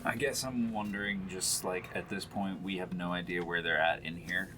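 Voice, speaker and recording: A man in a tabletop game chatting casually, reasoning out loud in a hedging way. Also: deep voice, steady tone, normal speed, background noise. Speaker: player in a tabletop role-playing game.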